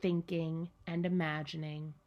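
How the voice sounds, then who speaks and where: A woman reading a children's storybook aloud in an expressive, playful way, speaking directly to young listeners. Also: sits low, measured pace, highs cut off. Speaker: camp director reading a picture book to children. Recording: music behind the voice. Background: background music.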